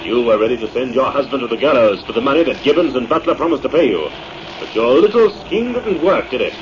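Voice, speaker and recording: A man's voice: mid-range voice, expressive, quick pace, close to an everyday voice. Guy playing stern mocking invisible avenger, aussie accent, old radio drama, dull and really noisy. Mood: stern, interrogating. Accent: Australian. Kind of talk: 1940s radio drama acting.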